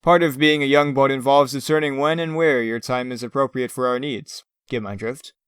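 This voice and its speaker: A man playing a firm, watchful superhero team commander in a comic dub, speaking with paternal authority in a smooth voice. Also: mid-range voice, normal speed, a bit bright, dry recording, clean studio sound, natural voice. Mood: stern.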